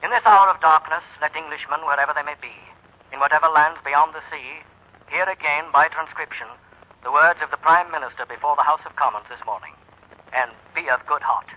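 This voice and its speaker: Actor as grave, higher-voiced British announcer. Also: male voice, fairly quick, natural voice. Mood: grave, resolute. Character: grave British wartime radio news announcer. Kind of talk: formal 1940s newsreading. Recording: dull vintage radio broadcast.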